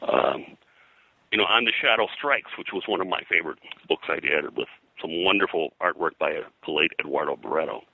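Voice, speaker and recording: An older man with a very low voice and an extremely bright, hard-edged tone. He talks as a veteran interview guest, explaining and reminiscing in a discursive way, often rephrasing as he goes. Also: lively intonation, a little fast, gravelly, husky, no room sound, rough recording. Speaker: interview guest, veteran comic book colorist.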